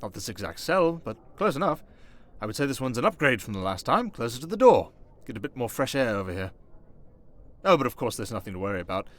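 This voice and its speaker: A man playing a smooth-talking, sarcastic vampire noble, chatting idly and vainly with a fellow prisoner in an ASMR roleplay. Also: medium pitch, very animated, quick pace, natural voice. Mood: chatty.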